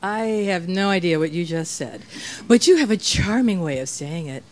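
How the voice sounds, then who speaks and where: A woman's voice: fairly low pitch, animated, normal speed, natural voice. A woman playing a sultry, composed widow in her thirties, a coy femme fatale in a radio play. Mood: poised. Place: live performance on a cruise ship.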